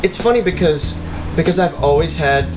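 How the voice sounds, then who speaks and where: A man's voice: a little high, expressive, music in the background. A man with a young-sounding voice, chatting casually as a voice actor guest in an interview. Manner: earnest, quick asides, occasional restarts.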